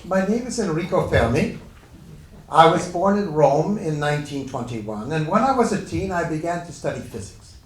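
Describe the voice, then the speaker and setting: A man's voice: on the high side, lively intonation, moderate pace, close to an everyday voice. A man playing an earnest Italian-born physicist recounting his own life in a formal, declamatory dramatic reading. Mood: earnest, proud. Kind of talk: first-person dramatic reading of a historical monologue. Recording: echoey room, distant microphone.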